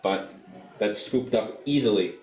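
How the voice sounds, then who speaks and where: A young adult man doing live soccer play-by-play for radio, describing the action in an animated way. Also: fairly low pitch, unhurried, echoey room. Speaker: play-by-play sportscaster.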